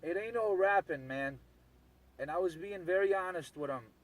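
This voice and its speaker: A man's voice: high-pitched, moderate pace, rough recording. An insistent man, ranting casually and repetitively as a CB radio operator.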